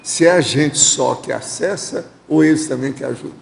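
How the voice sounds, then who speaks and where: Brazilian male host, gravelly voice, direct advising tone, echoey hall sound. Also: a little high, moderate pace, a little hiss or hum. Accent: Brazilian Portuguese. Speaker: host reading viewer questions. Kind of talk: studio Q&A, answering questions.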